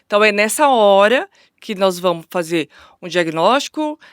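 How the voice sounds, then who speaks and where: A woman's voice: medium pitch, expressive, moderate pace, clean tone, no echo, clean studio sound. A Brazilian woman guest explaining as an orthodontist in conversation, often tagging phrases with "né". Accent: Brazilian Portuguese. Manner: frequent "né?" tags.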